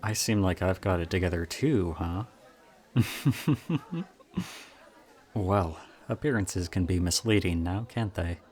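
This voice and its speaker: A very low-pitched young college guy, played by a male voice actor in an intimate roleplay audio drama. He is chatty and charming, pokes fun at himself and teases softly, with something subtly odd about him. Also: takes it slowly. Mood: curious, friendly. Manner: chatty, self-deprecating, softly teasing.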